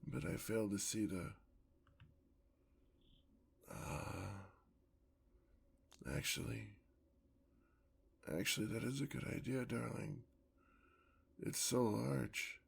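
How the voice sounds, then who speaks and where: A man performs a vampire lover, tender yet predatory, in an ASMR fantasy roleplay. The voice is fairly low and full of gravelly creak. The phrasing is formal and theatrical, delivered at a slow, lingering pace. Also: full character voice. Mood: thoughtful. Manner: theatrical, formal, drawn-out endearments.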